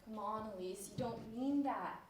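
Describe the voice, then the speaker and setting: A woman's voice: on the high side, moderate pace, close to an everyday voice. A female performer playing a caring, worried best friend, earnest and reassuring, in an amateur stage play. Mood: earnest, consoling. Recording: very echoey stage, distant mic, faint noise.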